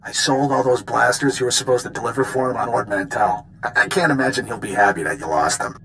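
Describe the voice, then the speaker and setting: A man's voice: medium pitch, fast, a bit bright, a little hiss or hum, lightly acted. A man playing a cocky, vengeful middle-aged rogue in a video game, taunting and smug. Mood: taunting, threatening.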